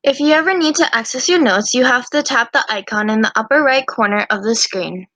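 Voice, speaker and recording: A woman's voice: on the high side, fairly quick, bright, forceful tone, dry recording. A woman voicing a plucky, mischievous young student huntress with a breezy, slangy, teasing manner in an amateur visual novel.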